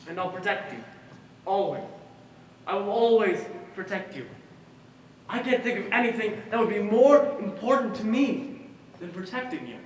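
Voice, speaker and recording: A man's voice: sits high, expressive, moderate pace, long pauses. Echoey, earnest protective guardian acted by a man. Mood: devoted. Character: earnest, protective male guardian with patchy memory. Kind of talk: stage play monologue. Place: stage in a large hall, distant mic. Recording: echoey hall from far off, noisy.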